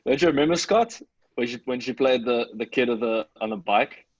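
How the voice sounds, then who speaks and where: A man's voice: medium pitch, brisk, dull audio. A man chatting informally and explaining his thoughts to a group of friends. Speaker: podcast cast member. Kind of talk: casual chat.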